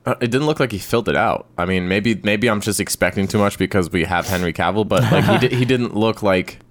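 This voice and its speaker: A man's voice: lowish voice, a little fast. A man co-hosting a casual podcast, chiming in with short remarks and agreement. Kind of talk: casual chat.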